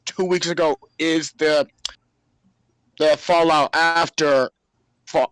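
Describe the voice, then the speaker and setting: A man's voice: a little high, fairly even intonation, slow pace, fairly bright tone, clean tone, no room sound, low-quality recording. A man co-hosting a podcast, talking casually as he reviews wrestling. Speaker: podcast co-host.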